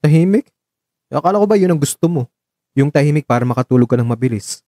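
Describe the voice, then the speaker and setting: Man acting a mellow, caring young suitor. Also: fairly high pitch, animated, quick pace, no room sound, clean studio sound. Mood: light, teasing. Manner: gentle, reassuring, conversational Taglish. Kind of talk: radio drama acting. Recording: voice over background music.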